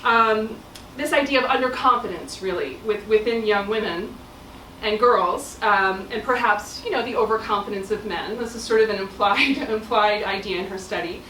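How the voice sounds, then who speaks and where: A woman's voice: mid-range voice, moderate pace, a bit bright, slight background noise. A middle-aged woman giving a conversational public talk with frequent fillers, heard without a mic from across a room. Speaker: speaker. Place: auditorium. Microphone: no mic, room pickup from afar. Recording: echoey hall from far off.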